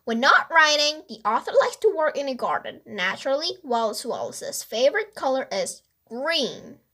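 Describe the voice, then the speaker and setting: A woman's voice: sits high, sing-song, moderate pace, bright, forceful tone, voice pushed up, exaggerated voice. A woman voicing a bossy, inquisitive young girl detective in a children's storybook, emphatic and questioning. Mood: eager.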